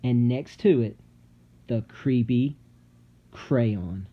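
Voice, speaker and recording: A man reading a children's story aloud dramatically, with suspenseful, theatrical delivery and deliberate pauses. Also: mid-range voice, muffled recording. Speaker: storybook narrator. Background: music.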